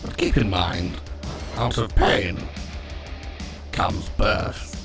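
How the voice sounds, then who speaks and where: A man's voice: deep voice, drawn-out words, creaky, heavily acted. A man voicing a suave, sinister robot villain in a sci-fi cartoon, theatrical, drawling and mock-polite. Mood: silky menace. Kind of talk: animated sci-fi villain acting. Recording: loud music over the voice.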